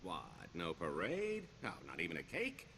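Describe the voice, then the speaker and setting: Video game rogue: wry, scheming career criminal, middle-aged, theatrical and wheedling, low-pitched with very lively inflection. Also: male voice, normal speed, a little hiss or hum, exaggerated voice. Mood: wry, boastful. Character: roguish male career criminal, wry, boastful, scheming veteran.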